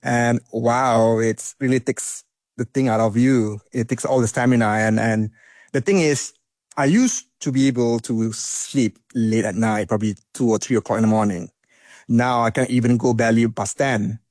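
A man in his early forties in a casual chat, telling stories in an animated, self-deprecating way. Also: medium pitch, moderate pace, no echo, clean studio sound. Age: 40s.

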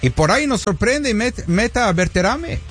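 A man co-hosting a sports radio show, bantering and joking in an animated, teasing way. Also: high-pitched, normal speed, faint music behind.

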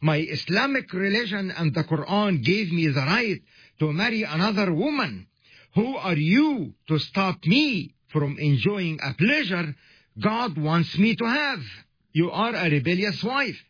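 Guy preaching on radio, slow and earnest, husky voice, kinda muffled lo-fi. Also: high-pitched, big pitch swings, no room sound. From Egypt. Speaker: radio ministry host. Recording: dull lo-fi radio sound.

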